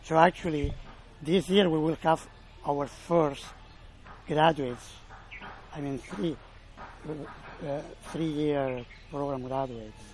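A man from Spain, answering audience questions in an informal presentation, often pausing and correcting himself. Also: a little high, steady tone, darkish tone, low-quality recording. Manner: halting, self-correcting, explanatory. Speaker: college representative.

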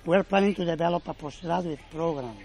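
Man, slightly high voice; halting Q&A speaker, faint outdoor noise. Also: a little mellow. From Spain. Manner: halting, self-correcting, explanatory. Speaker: college representative. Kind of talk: informal Q&A presentation.

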